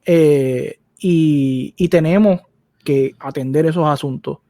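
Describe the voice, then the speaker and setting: A man's voice: fairly high pitch, takes it slowly, warm tone, no room sound, clean studio sound. A man with a Puerto Rican accent in a casual, opinionated podcast discussion, speaking earnestly and repeating phrases for emphasis. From Puerto Rico. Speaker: podcast co-host.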